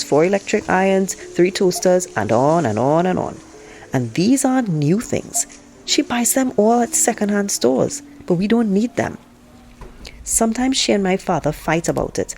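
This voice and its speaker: An outspoken teenage girl voiced by a woman reader tells her family story in a low-pitched voice. She is frank, opinionated and indignant, with a sarcastic, conversational edge, as in an audiobook. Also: darkish tone, a little hiss or hum. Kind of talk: first-person audiobook read-aloud.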